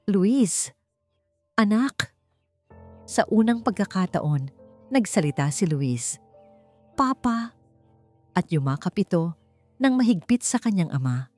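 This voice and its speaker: A woman's voice: on the low side, expressive, moderate pace, mellow. A woman narrating a dramatic audiobook story in a scripted storytelling style. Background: background music.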